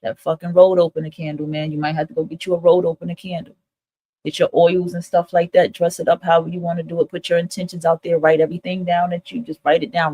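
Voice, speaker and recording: A woman's voice: lowish voice, a little mellow, rough recording. A woman host talking casually and candidly to her listeners in an emphatic, repetitive, motivational monologue, swearing freely. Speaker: host of a spiritual advice livestream.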